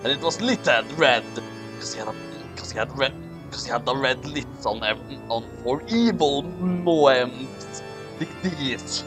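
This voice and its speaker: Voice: mid-range voice, animated, unhurried. A person doing a comedic dramatic reading of a garbled fan story as host, in a theatrical, mock-outraged manner. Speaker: host and reader. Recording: music playing behind. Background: music.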